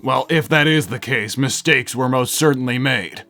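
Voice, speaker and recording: Male actor as a gruff cartoon hedgehog, aloof, deadpan, formal wording, grudging, music behind. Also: mid-range voice, normal speed. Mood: deadpan, reluctant. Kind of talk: scripted cartoon fan dub. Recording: background music under voice.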